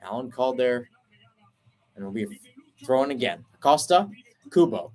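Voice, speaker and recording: A man doing radio play-by-play for a soccer match, talking steadily through the passing and breaking off to explain tactics, then jumping up in pitch when a chance opens. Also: lively intonation, a little mellow. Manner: brisk flowing call, rising sharply on chances; self-correcting, explains tactics between plays. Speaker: play-by-play commentator.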